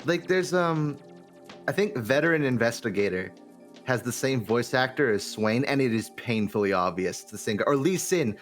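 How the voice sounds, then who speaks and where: Casual male podcaster, mid-range voice, animated griper, faint music behind him. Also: mid-range voice, moderate pace. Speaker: podcast guest.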